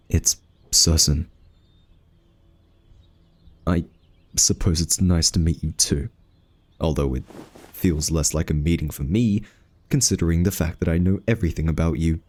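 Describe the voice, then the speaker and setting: A man's voice: low-pitched, darkish tone, full character voice. A man playing an exasperated, protective guardian angel in an ASMR roleplay, with a scolding, flustered, huffy manner. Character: exasperated, fussy, protective male guardian angel, rule-bound and tsundere. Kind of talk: ASMR roleplay audio drama addressed to the listener.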